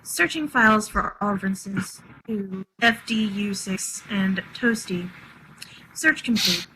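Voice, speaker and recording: A woman's voice: medium pitch, slow, bright, forceful tone, slight background noise, rough recording. A woman playing a literal-minded, unemotional artificial intelligence with formal, precise speech in a script reading. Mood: clinical. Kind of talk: dramatic live script reading.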